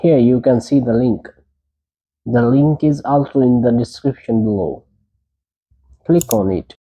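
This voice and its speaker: A man's voice: mid-range voice, a little slow, warm tone. A man presenting a tech tutorial, giving short, plain step-by-step instructions.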